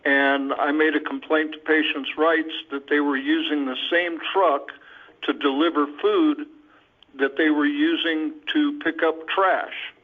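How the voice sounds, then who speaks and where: A male caller with a slightly raised pitch and little variation in tone gives testimony over a narrow phone connection. His manner is matter-of-fact. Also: fairly even intonation, unhurried. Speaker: patient caller giving a complaint. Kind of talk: spoken testimony on a conference call. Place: conference call by telephone. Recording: thin, narrow phone line.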